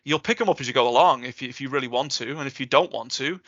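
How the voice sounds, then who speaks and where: A man's voice: medium pitch, quick pace, a bit bright, no room sound. Upbeat man on a muffled video call. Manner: enthusiastic, jokey, reassuring. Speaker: guest speaker, Welsh tutor. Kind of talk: casual Q&A chat.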